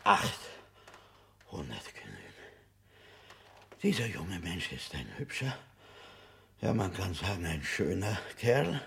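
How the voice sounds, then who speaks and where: An actor playing a shrewd, haughty old bookseller in a radio drama, with a schoolmasterly, condescending, muttering manner. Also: male voice, sits low, sing-song, slow, pauses often, fairly bright tone, full character voice. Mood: calculating. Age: older. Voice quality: husky, gravelly.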